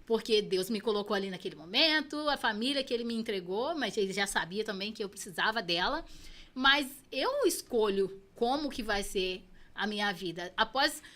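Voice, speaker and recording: A woman's voice: medium pitch, expressive, normal speed, sharp tone. A Brazilian woman in her thirties, a podcast guest telling her own story in an earnest, reflective way. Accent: Brazilian Portuguese. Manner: earnest, reflective, repeats phrases for emphasis. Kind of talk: personal storytelling in an interview.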